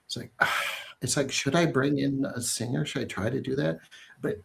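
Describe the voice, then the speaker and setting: A man's voice: mid-range voice, normal speed, gravelly, fairly bright tone. A man hosting a casual listening stream about his album, chatty and self-deprecating, laughing often. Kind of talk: casual chat.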